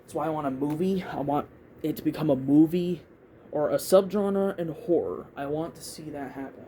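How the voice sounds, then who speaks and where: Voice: medium pitch, normal speed, warm tone, a little hiss or hum. A vlogger of unclear gender rambling casually through opinions, thinking aloud and repeating words in a hesitant way. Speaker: YouTube video creator giving opinions.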